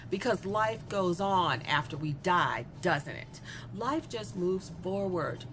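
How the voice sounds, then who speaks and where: A woman playing a cruel, self-absorbed young female killer in a darkly comic audio drama, speaking in a mocking, sardonic, conversational way. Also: a little high. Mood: mocking, menacing. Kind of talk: dramatic monologue in an audio horror comedy.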